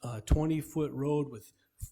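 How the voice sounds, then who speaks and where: A man presenting a subdivision plan to a town planning board, loud and halting with many restarts. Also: a little high. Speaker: applicant's representative.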